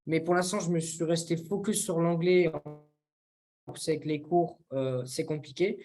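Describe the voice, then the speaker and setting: Young man from France, hesitant, fairly high voice for a man, casual co-host. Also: dark tone, soft background music. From France, near Toulouse. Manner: halting, searching for words. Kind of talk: casual discussion.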